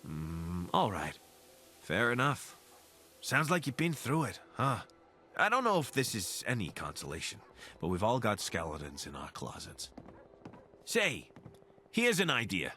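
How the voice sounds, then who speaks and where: An actor playing an affable, curious wasteland scavenger in a video game, casual, wry and eager to team up. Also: male voice, lowish voice, huge pitch swings, unhurried, pauses often, bright, forceful tone, heavily acted. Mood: sympathetic. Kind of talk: video game companion dialogue.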